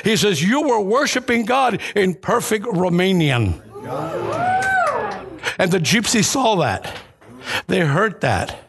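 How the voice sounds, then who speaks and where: A man's voice: high voice, sing-song, takes it slowly, creaky, pauses often, over a noisy crowd. A middle-aged or older man preaching a church sermon as personal testimony, telling it conversationally and repeating phrases for emphasis. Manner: conversational storytelling.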